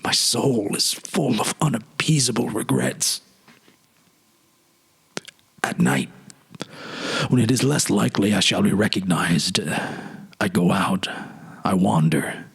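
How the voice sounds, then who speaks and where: A man voicing an anguished cabinet minister in a dramatic story reading, confessing his secret grief in halting, hushed, husky tones. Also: on the low side, animated, voice pushed down, heavily acted. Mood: anguished, regretful.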